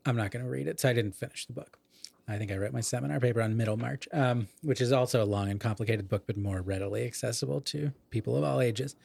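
A middle-aged man talks casually about literature as a podcast co-host. His voice sits at an ordinary pitch in a very dry recording, and he is learned and digressive, wandering into asides. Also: quick pace, gravelly, darkish tone, husky, no room sound.

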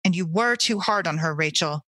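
A woman reads out a solemn older guardian from a storybook. She is firm but fair and deliberate, in a bright voice recorded very dry. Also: lowish voice, no echo. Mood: firm, measured. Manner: slow, deliberate.

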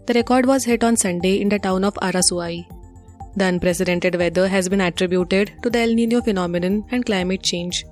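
A woman's voice: mid-range voice, darkish tone. Indian-accented woman reading news over music. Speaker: news presenter.

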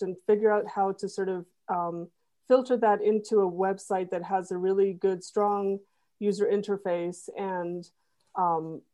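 A woman guest on a podcast, explaining her work in a relaxed conversational way and repeating words as she thinks. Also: medium pitch. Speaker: podcast guest, web designer. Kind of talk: casual interview chat.

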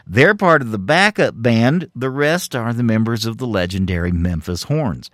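A man's voice: mid-range voice, expressive, moderate pace, a bit bright, no room sound, clean studio sound. A man hosting a music show, narrating scripted bits of music history between songs. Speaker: music radio show host.

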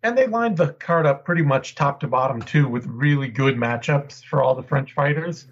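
A man's voice: mid-range voice, normal speed. A man hosting a casual post-fight podcast, upbeat and chatty as he breaks down the fights. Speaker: podcast host. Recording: dull lo-fi remote audio.

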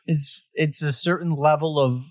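A man's voice: a little high, fairly even intonation, moderate pace, no room sound, clean studio sound. A man in his twenties hosting a casual podcast chat, joking and rambling with plenty of fillers. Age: 20s. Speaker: podcast host. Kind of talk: casual conversational interview.

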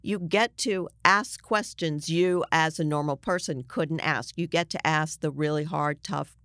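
A woman's voice: deep voice, unhurried, a bit bright, no echo. Woman in earnest conversation, dry close sound. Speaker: journalist guest. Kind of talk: conversational interview discussion.

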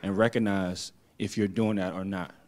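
A man with a fairly low voice speaks up in a church discussion. He lays out a spiritual idea earnestly and step by step. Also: steady tone, moderate pace, a little mellow. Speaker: congregant.

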